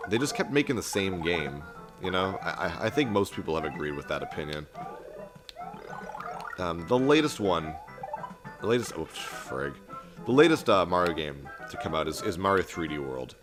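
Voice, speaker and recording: A young man with a very low voice chats loosely while he plays, in a flat, monotone delivery full of ums and false starts. Game music plays clearly under his voice. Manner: self-described monotone, rambling with filler and restarts. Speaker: let's play host. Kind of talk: casual gameplay commentary. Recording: game music playing behind voice.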